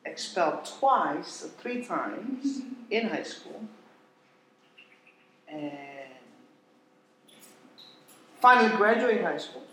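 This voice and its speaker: A man's voice: high-pitched, animated, extremely slow, long pauses, bright, forceful tone, slight background noise. A man from Indonesia, a podcast guest, telling his story in a blunt, joking way and swearing freely. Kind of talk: casual storytelling. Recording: echoey room.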